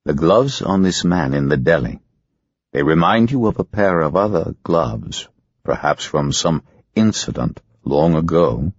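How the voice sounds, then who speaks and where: A calm, commanding hypnotist, read by a male narrator speaks in soothing, slow, insistent commands from a storybook. His deep voice is husky, and the sound is dry and muffled. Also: no room sound, full character voice.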